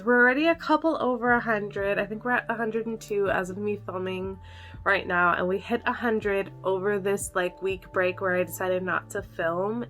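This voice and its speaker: Bubbly young woman vlogger, slightly nasal. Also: medium pitch. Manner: bubbly, excitable, frequent "like" and gasps. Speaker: ghost video reaction host. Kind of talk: casual chatty reaction vlog.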